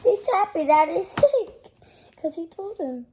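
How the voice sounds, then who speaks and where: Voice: very high-pitched, very animated, measured pace, darkish tone, a little hiss or hum. A young child reading a picture book aloud expressively, acting out characters and adding asides. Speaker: storybook reader.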